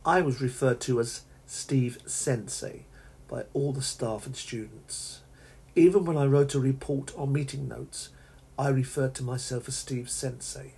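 A man hosting a podcast, recounting his teacher-training work in a measured way with frequent restarts and self-corrections, his throat dry. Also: mid-range voice, darkish tone, slight background noise. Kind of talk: reflective solo podcast monologue.

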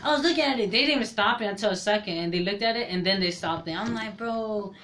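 A man's voice: high voice, fast, sharp tone. A young man co-hosting a casual podcast, telling a story in loose slang and swearing freely. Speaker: podcast co-host. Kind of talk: casual chat. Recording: noisy home recording.

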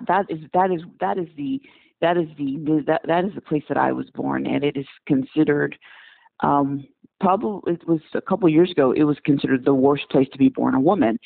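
Gravelly guy in his 40s from congo, doctor on a podcast, earnest, repeats himself finding words, tinny phone sound. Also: fairly high pitch, dry recording. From Democratic Republic of Congo. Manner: earnest, repeats words while searching for phrasing. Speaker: emergency physician guest. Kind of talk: podcast interview answers. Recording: dry, tinny phone-like call line.

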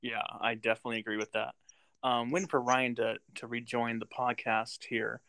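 Brief: American male podcast host, halting and chatty, dry closely miked voice. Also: mid-range voice, little pitch movement, creaky, pushed and bright, dry recording. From United States. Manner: halting, many ums, laughs often. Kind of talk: casual movie podcast chat.

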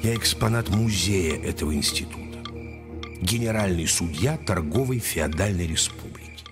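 A man playing a weary, wise older judge in a radio drama, speaking in a measured, paternal, admonishing way. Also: low voice, animated, music in the background. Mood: weary, reflective. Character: weary, wise older male judge, secretly an Earth historian.

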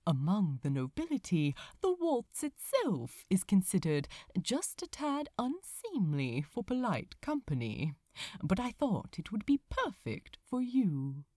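A woman voicing a refined, mischievous gentleman who teases with prim mock propriety in a storybook reading. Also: mid-range voice, wildly swooping pitch, no echo, clean studio sound. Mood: playfully prim. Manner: prim, mock-proper, deliberate innocence. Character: refined, mischievous gentleman, teasing dance tutor.

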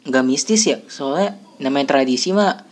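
A man's voice: mid-range voice, moderate pace. A young man chatting casually in a group, joking and laughing often.

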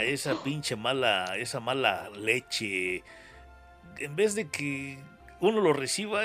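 A man's voice: mid-range voice, fairly bright tone. A Mexican man hosting a casual interview, talking in a slangy, rambling way and often repeating his phrases. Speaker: interview show host.

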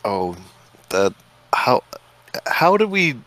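A man's voice: mid-range voice, big pitch swings, takes it slowly, fairly bright tone. A man in casual, joking chat on a podcast, with some noise on the recording. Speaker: podcast participant.

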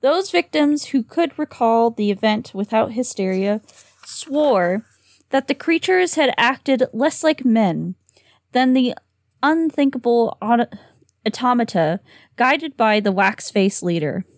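A woman's voice: fairly high pitch, expressive, slow pace, dry recording, clean studio sound. A woman reading a horror story aloud with a slight lisp on s sounds, sometimes tripping over words. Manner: giggly, trips over words. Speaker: reader and co-host.

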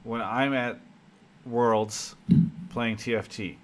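A man's voice: medium pitch, fairly even intonation, slow pace, a bit bright. A man hosting a call-in esports talk show, joking and teasing between callers. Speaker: show host.

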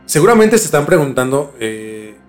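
A man's voice: a little high, very animated, moderate pace, faint music behind. A man hosting a mystery podcast in a conversational presenting style. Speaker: podcast host.

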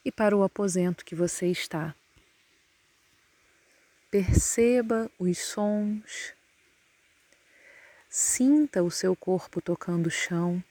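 A woman with a Brazilian Portuguese accent leads a guided yoga nidra meditation, giving calm, soothing instructions with long pauses. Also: on the low side, dry recording.

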